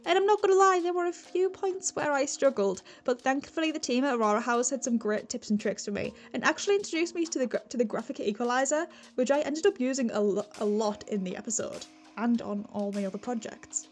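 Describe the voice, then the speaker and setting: A woman hosts a casual behind-the-scenes vlog in a fairly high voice. She rambles chattily, stopping and restarting her sentences. Soft music sits behind her. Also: recorded from a distance. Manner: rambling, halting, self-interrupting. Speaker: video creator and host. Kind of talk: casual chatty vlog about audio production.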